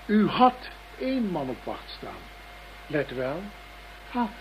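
An actor playing a coolly threatening, formal and deliberate masked blackmailer in a radio play. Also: medium pitch, very animated, highs cut off. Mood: cold menace. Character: cold, polite, menacing masked blackmailer holding a captive. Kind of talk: Dutch radio play acting. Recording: noisy radio play recording.

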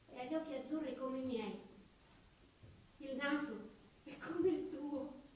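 An actress playing a dreamy, childlike, tender woman in a stage play, speaking in wistful, halting, simple phrases. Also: high-pitched, darkish tone, distant mic. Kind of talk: tender stage theatre acting. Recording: very echoey theatre stage from afar, music.

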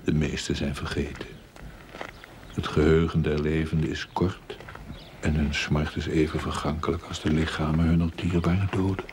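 A very deep-voiced, solemn philosopher. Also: male voice, level tone, normal speed. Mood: grave. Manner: grave, aphoristic. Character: solemn, philosophical man musing on the dead. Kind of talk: 1970s television drama dialogue. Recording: noisy old TV soundtrack.

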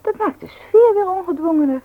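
Animated, argumentative wife, tinny recording. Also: female voice, very high-pitched, a little fast. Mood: breezy, justifying. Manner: insistent, reasoning, exasperated. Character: strong-willed wife, breezily argumentative and self-justifying. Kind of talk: Dutch radio play acting. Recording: thin phone-like old radio recording.

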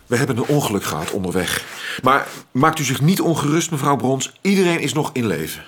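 An anxious, halting man in a noisy drama. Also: mid-range voice, very animated, fairly bright tone. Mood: shaken, gentle. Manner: halting, hesitant, repeating words. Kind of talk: radio play acting.

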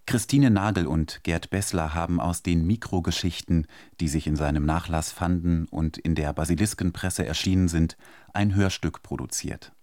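A man announcing a radio programme in a formal, measured, scripted manner. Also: low voice, darkish tone, dry recording, clean studio sound.

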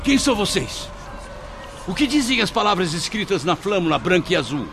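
A man's voice: high voice, sing-song, fairly quick. A Brazilian man acting a tormented, desperate soul in the netherworld for a radio drama. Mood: anxious, wary. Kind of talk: radio drama acting and first-person narration. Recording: loud music behind the voice.

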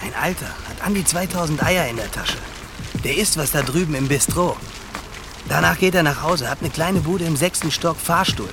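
An actor playing a streetwise, bossy young petty crook in a German radio crime drama, talking in brusque, needling slang. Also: male voice, on the high side, normal speed, noisy background, natural voice. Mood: brusque, commanding. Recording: music behind the voice.

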